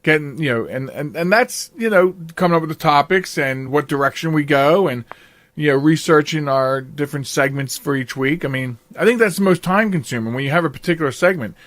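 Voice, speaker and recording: Guy hosting a podcast, kinda high voice, chatty and rambly, dry sound. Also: fairly quick, fairly bright tone, no echo. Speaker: podcast host. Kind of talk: casual interview chat.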